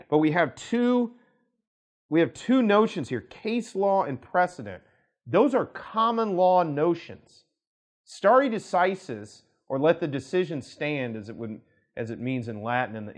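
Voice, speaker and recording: A man, a law firm director, explaining legal concepts to camera in a conversational way, with frequent restarts and asides. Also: fairly high pitch. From United States.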